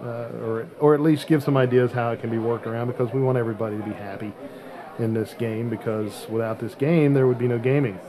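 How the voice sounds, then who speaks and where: Halting male podcast host, chatter behind him. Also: medium pitch, fairly even intonation. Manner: halting, searching for words. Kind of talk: casual podcast chat.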